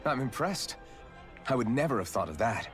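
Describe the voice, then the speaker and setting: An actor voicing an earnest, resolute young hero in a video game, speaking in a direct, dramatic manner. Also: male voice, medium pitch, sing-song, moderate pace, a bit bright. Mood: earnest calm. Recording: game music under the dialogue.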